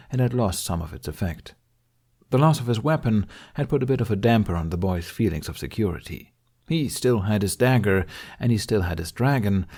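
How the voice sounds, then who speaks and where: A man reading scripted fiction as an audiobook narrator, with a slightly husky voice. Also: lowish voice, a little mellow.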